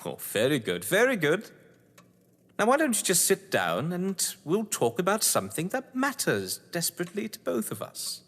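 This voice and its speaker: An actor playing a suave, sardonic upper-class English novelist, wordy and condescending, in a theatrical audio drama. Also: male voice, high voice, wildly swooping pitch, normal speed, fairly bright tone, full character voice. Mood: taunting. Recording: echoey hall-like reverb.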